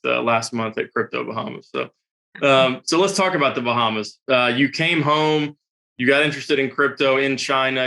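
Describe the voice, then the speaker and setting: Bright-voiced man hosting. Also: medium pitch. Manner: articulate, long flowing questions. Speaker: interview host. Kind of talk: conversational interviewing.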